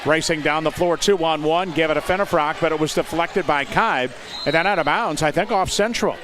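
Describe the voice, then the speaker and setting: American man, basketball play-by-play, clipped phrasing, slightly high voice, noisy gym with clear crowd behind. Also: a little fast. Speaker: play-by-play announcer. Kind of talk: live high school basketball commentary. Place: indoor high school gymnasium. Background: crowd noise and bouncing basketballs.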